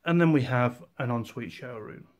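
A man in his forties, an estate agent, walking through a house and pointing out rooms in a steady, informative way. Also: mid-range voice, fairly quick. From England. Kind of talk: property walkthrough tour.

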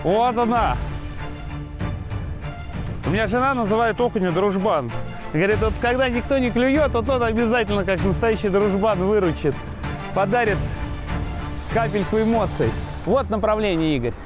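A man's voice: sits high, moderate pace, pauses often, over music. A man in his thirties hosting a fishing show, chatting and exclaiming as he fishes on a rocky riverbank by a dam. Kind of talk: excited outdoor chat while fishing.

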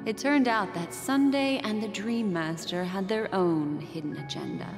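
A woman voicing a mysterious fortune-teller in a video game, telling a slow, soothing bedtime-story recap. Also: medium pitch, close to an everyday voice. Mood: soothing, enigmatic. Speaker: game character narrating a recap. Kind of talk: video game cutscene voice acting. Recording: game audio with long reverb and music.

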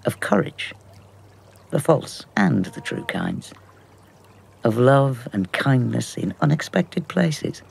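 A man delivers scripted, storytelling narration at an unhurried pace, with a gravelly edge to his voice. His pitch is on the low side and moves expressively. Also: a little mellow. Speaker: narrator of introduction.

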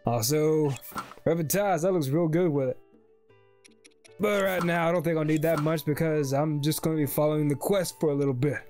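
A young man with a slightly high voice hosts a casual let's play. He rambles along in a jokey way, with game music playing behind him. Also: darkish tone. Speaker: gaming video host.

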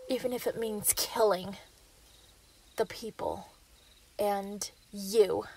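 A woman's voice: medium pitch, darkish tone, a little hiss or hum, rough recording. A woman playing an obsessive, lovestruck young female werewolf in a yandere ASMR roleplay, menacing and possessive. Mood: cold, menacing.

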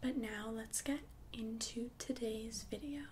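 A woman speaking in a hushed, gentle whisper as an ASMR creator, close to the microphone. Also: fairly high pitch, fairly even intonation, measured pace. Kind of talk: soft-spoken whispered ASMR. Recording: clear background noise and ambience.

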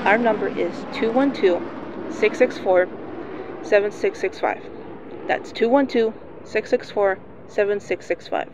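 A woman playing a radio newscaster, reading breaking news in a steady, formal manner. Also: medium pitch, unhurried, noisy background, natural voice. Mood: urgent. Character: composed female radio newscaster. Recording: dull lo-fi sound.

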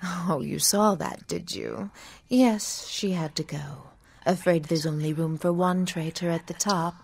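An actress playing a smug, treacherous vampire villainess in a video game, speaking with breathy menace. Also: female voice, lowish voice, steady tone, a little slow, darkish tone, no room sound, full character voice. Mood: smug, menacing.

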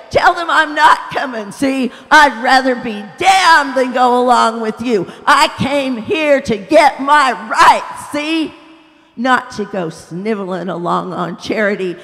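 Woman acting out a bitter shouting ghost of a man. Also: high voice, voice pushed up, full character voice. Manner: shouting, indignant, blustering. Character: bitter, quarrelsome man's ghost, blustering. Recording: echoey hall.

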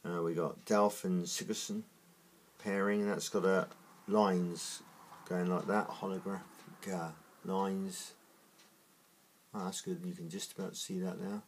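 A man with a croaky morning voice, talking through opening trading card packs in a slow, halting way with many fillers. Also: low voice, flat delivery, a little hiss or hum. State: croaky, just woken up. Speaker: trading card unboxing host. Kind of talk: casual unboxing commentary.

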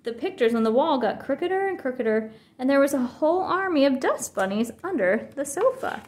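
A woman's voice: sits high, sing-song, a little fast, darkish tone, reverberant. A woman reading a children's picture book aloud with expression. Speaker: storybook reader.

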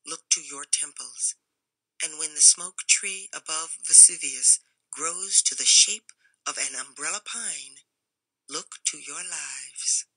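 A male stranger with a thin, high, sharp-edged voice and a foreign accent, put on by a woman reading aloud. He speaks gravely, like a prophet of doom. The tone is bright and cutting. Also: sing-song, measured pace, no room sound, heavily acted, low-quality recording. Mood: ominous. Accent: foreign-sounding. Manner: solemn, warning.

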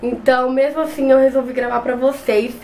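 Young woman, has a cold, chatty tutorial talk, higher voice, faint room hum. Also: fairly even intonation, a little fast, a little mellow. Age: young adult. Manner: chatty, repeats words, hesitant restarts. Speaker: beauty vlogger. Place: small bedroom with shelves and a clothes rack. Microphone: camera close in front.

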